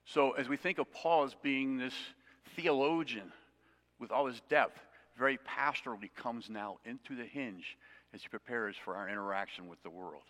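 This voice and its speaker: A man's voice: medium pitch, normal speed, fairly bright tone. A man preaching an expository sermon to his congregation, conversational with self-deprecating asides. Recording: long reverb of a hall.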